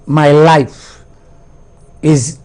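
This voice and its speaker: A man's voice: fairly high pitch, steady tone, very slow, a little mellow. A man in his sixties from Jamaica, a guest speaking reflectively and hesitantly about his life. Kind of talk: casual interview conversation.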